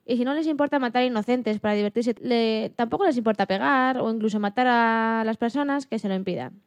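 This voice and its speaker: A woman's voice: fairly high pitch, brisk, clean tone, no room sound, close to an everyday voice. A woman playing an animal liberation activist and mother who tells her story earnestly in an acted radio interview, stumbling slightly now and then. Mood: earnest, indignant.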